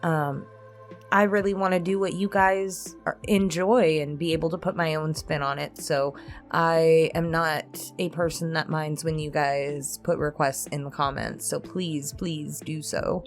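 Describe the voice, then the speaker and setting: A woman with a fairly low voice hosts a casual chat for her audience. She talks informally and feels her way through her phrasing, with music faintly behind her. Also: steady tone, measured pace. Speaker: host, roleplay ASMR voice actor. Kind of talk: casual chat to viewers in a pre-recorded Q&A.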